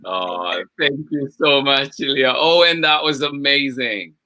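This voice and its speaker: A bright, crisp-edged man's voice at an unhurried, slow pace. He hosts a video call in casual chat. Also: mid-range voice, dry recording. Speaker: online session host.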